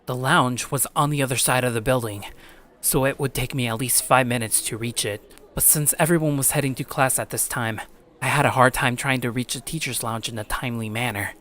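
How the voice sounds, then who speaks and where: Man playing a determined high school boy, mid-pitched. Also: fairly bright tone, close to an everyday voice. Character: determined, blunt high school boy, narrating his own story. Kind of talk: first-person dramatic narration and dialogue.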